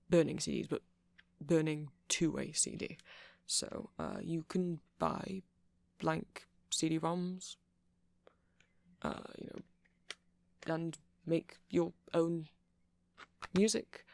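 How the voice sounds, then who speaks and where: Guy playing a geeky rambling vampire, soft gravelly asmr voice, tons of vocal fry, really dry close sound. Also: on the high side, dry recording. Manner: rambling, hesitant, self-conscious geeky explaining. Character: nerdy, rambling, friendly male vampire shopkeeper. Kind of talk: ASMR roleplay, explaining technology.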